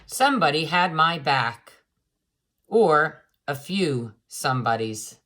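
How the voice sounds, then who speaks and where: Female storyteller for kids' read-aloud: low register, very slow, dramatic drawn-out delivery. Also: expressive, fairly bright tone. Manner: dramatic, with drawn-out words and pauses. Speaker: storyteller reading a picture book aloud. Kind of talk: expressive children's picture book read-aloud.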